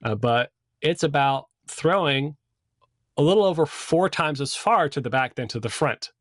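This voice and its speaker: A man's voice: a little high, expressive, moderate pace, a bit bright, no room sound. Man explaining casually, dry close sound. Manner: conversational, informal. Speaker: sound system design instructor. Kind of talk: casual explanatory lesson with slides.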